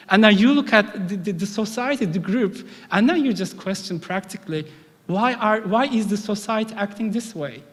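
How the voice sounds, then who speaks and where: A man giving a thoughtful public talk, often restarting his phrases and filling in with "you know". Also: high-pitched. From United Kingdom. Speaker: academic speaker. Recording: reverberant hall.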